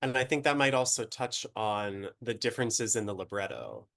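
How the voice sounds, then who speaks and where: A man's voice: lowish voice, moderate pace, vocal fry, fairly bright tone. A man hosting an online opera lecture, friendly and a little hesitant, often starting with "um" and "you know". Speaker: opera company producer and interviewer.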